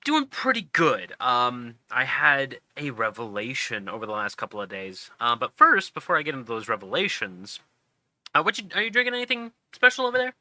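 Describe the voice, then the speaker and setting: A man's voice: medium pitch, sing-song, a little fast, sharp tone, no room sound. A sniffly man hosting a casual podcast, chatty and upbeat. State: sniffly, congested. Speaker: podcast host. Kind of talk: casual chat.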